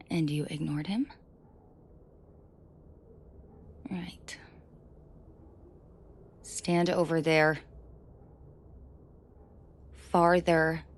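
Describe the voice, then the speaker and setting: Casting: actress as female mafia boss for intimate audio roleplay. Low, slow delivery, commanding, dangerous yet protective. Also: vocal fry, a little hiss or hum. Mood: menacing, angry. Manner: controlled, commanding, slow and deliberate, often dropping to whispers. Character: female mafia boss, menacing to her men, protective and tender. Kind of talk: scripted roleplay audio drama, intimate direct address to listener.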